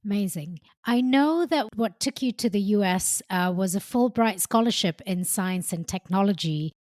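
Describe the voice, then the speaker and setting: A woman's voice: medium pitch, expressive, normal speed, darkish tone, dry recording, clean studio sound. A woman hosting a podcast, introducing and encouraging her guest with enthusiastic questions. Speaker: podcast host. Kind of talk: podcast interview.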